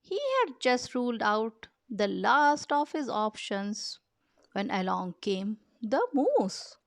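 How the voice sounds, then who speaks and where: Female storybook narrator, unhurried and expressive, some creak in the voice, close dry sound. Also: a little high, dry recording. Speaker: children's picture book reader. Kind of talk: storybook read-aloud narration.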